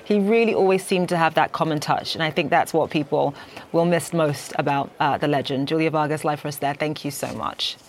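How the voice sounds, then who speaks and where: A woman anchoring a business news show, speaking in a brisk, polished way. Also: low-pitched, steady tone, a little hiss or hum.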